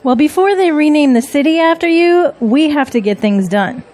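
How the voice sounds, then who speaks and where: Higher-voiced, level-headed political confidante. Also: female voice, expressive, a little hiss or hum. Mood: wry, supportive. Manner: measured, gently cautioning. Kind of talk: audio drama acting.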